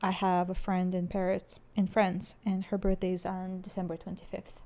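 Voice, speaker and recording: A woman's voice: fairly low pitch, monotone, normal speed, warm tone. A woman co-hosting a podcast in casual chat, speaking English as her second language, chatty and prone to tangents as she muses aloud. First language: not English. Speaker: podcast co-host.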